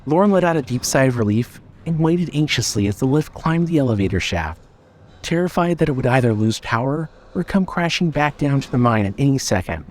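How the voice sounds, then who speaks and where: A man reading scripted story narration in a measured, expressive, dramatic way. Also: medium pitch, a little hiss or hum.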